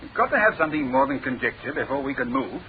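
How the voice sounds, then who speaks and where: An actor playing a gruff, sceptical English chief inspector in a classic radio drama, talking in clipped, telegraphic phrases. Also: male voice, medium pitch, sing-song, quick pace, slight background noise, lightly acted. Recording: tinny band-limited old radio recording.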